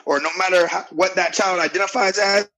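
A man with a loud, deep voice talking earnestly in a casual podcast discussion, often restarting his phrases. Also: fairly even intonation, a little fast. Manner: earnest, restarts phrases, keeps asking "you know what I'm saying". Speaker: podcast guest.

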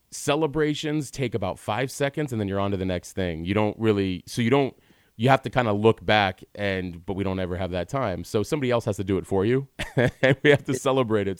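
A man hosting a podcast interview, chatting in a relaxed way with many fillers. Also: lowish voice, clean tone, dry recording, clean studio sound. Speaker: podcast host.

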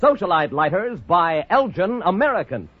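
A man's voice: high-pitched, very animated, normal speed, slightly rough, faint music behind. A man announcer reading a 1940s radio commercial with emphatic salesmanship. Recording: muffled old radio recording.

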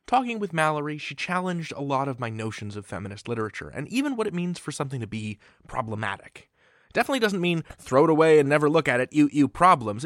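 A man's voice: on the high side, fairly bright tone, dry recording, clean studio sound. An American man hosting a podcast in a chatty, folksy, emphatic way as he introduces and interviews.

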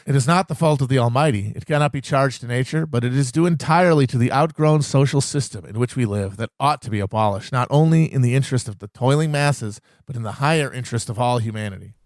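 A man's voice: medium pitch, a little mellow, no room sound, clean studio sound. A man giving a solemn, oratorical reading of a historical political speech.

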